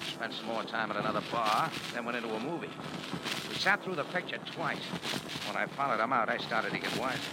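Fast-talking hard-boiled American cafe owner played by an actor. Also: male voice, mid-range voice, close to an everyday voice. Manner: hard-boiled, wry, clipped. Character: tough, sardonic male cafe owner turned amateur sleuth. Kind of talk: 1940s radio detective drama acting with first-person narration. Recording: noisy old 1940s radio recording.

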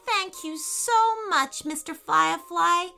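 Excitable earnest little schoolgirl, very high and bright, storybook kid, woman putting her on. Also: slow pace, vocal fry, faint music behind, exaggerated voice. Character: eager curious young schoolgirl.

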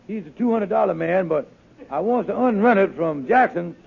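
A blustery, scheming lodge boss in an old radio comedy. The voice is high-pitched and husky, with hesitant, folksy, ungrammatical talk. It comes through a very dull vintage recording. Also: male voice, animated, moderate pace, heavily acted. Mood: wheedling, scheming. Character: wheedling, scheming middle-aged male lodge boss, blustery. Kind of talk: 1950s radio comedy acting.

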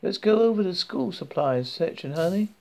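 A man's voice: sits high, lively intonation, moderate pace, gravelly, a little mellow, voice pushed up. A man voicing a chatty, friendly young woman with a Southern US accent, gushing and talkative.

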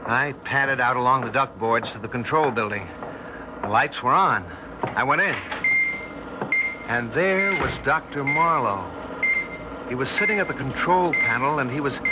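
Haunted scientist narrator played by a male actor: grave, deliberate, tense, 1960s radio horror, loud score, dull fidelity. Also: mid-range voice, natural voice. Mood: uneasy recollection. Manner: tense, deliberate, dramatic pauses. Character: haunted younger male scientist recounting a terrifying memory. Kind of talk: 1960s radio drama acting, first-person narration.

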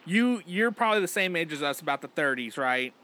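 A man's voice: a little high, expressive, fairly quick, bright, forceful tone, no room sound. A man in his thirties co-hosting a podcast, loose and joking in casual banter. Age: 30s. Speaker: podcast co-host.

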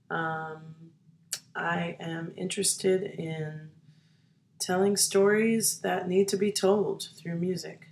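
Slow, thoughtful woman in a roomy space. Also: fairly low pitch. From United States, New York and New Jersey. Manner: unhurried, thoughtful, with frequent ums. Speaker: musician, interview guest. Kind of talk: conversational interview answers.

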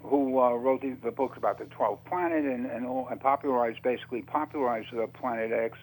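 A man speaking as a radio interview guest, unscripted and halting, often repeating himself as he searches for words. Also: medium pitch, low-quality recording.

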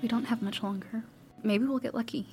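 A young woman acting an anxious, evasive survivor daughter in an audio drama, blunt and defensive, with a husky edge. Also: on the high side, steady tone, a little fast, darkish tone, lightly acted. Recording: music behind the voice.

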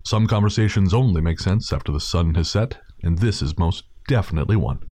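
A man with a fairly low voice hosts a podcast. He introduces the show in a casual, conversational way. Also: lively intonation, fairly quick, dark tone, no echo, clean studio sound. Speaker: podcast host.

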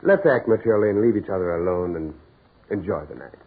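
Brisk American businessman, old radio. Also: sits low, animated, husky, exaggerated voice. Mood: irritated, then conciliatory. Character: brisk, work-obsessed businessman, dry and evasive. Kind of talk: 1940s radio drama acting. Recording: scratchy 1940s radio broadcast, muffled, noisy.

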